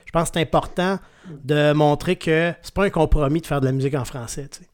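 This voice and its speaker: A man's voice: a little high, quick pace. A man with a Québécois accent interviewing musicians, asking long, hesitant, rambling questions. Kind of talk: casual interview.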